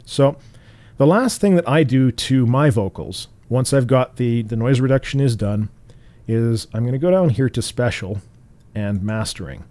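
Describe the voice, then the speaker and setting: A man with a naturally bassy, mellow voice talks through a software tutorial at an easy pace. He explains in a relaxed way, now and then restarting a phrase. The sound is dry and close, recorded at home. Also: normal speed, no room sound. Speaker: tutorial host.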